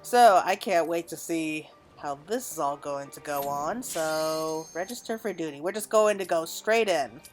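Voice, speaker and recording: A woman's voice: low-pitched, animated, a little slow, hardly any pauses, music in the background. A woman giving casual, chatty commentary as she plays through a game, thinking aloud. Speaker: gamer narrating a playthrough. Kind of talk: casual gameplay commentary, also reading game dialogue aloud.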